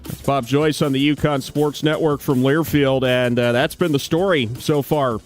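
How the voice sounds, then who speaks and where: Man, radio studio host, sports update delivery, middle pitch, faint music underneath. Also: fairly even intonation, normal speed.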